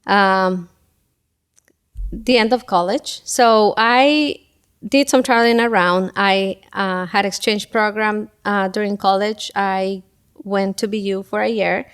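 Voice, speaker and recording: A middle-aged woman from Ecuador, talking as an interview guest in a thoughtful way with many pauses and fillers. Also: medium pitch, steady tone, clear, resonant tone. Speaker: guest, school event coordinator. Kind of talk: casual interview talk.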